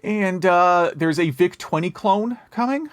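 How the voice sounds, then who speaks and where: A man's voice: high-pitched, unhurried, dry recording. A man hosting a podcast, chatting casually about the news and wandering into conversational asides. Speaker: podcast host. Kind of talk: casual retro gaming news chat.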